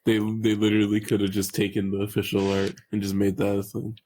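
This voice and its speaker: A man's voice: fairly low pitch, monotone, quick pace, warm tone, slight background noise. A man chatting casually as a podcast panelist, informal and prone to swearing. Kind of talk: casual chat.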